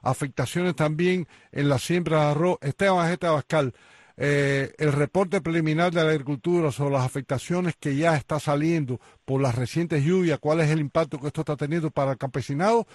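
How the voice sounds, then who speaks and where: A man's voice: fairly high pitch, steady tone, a little fast, darkish tone, no echo, clean studio sound. A man hosting a radio news program, presenting reports and putting questions to guests.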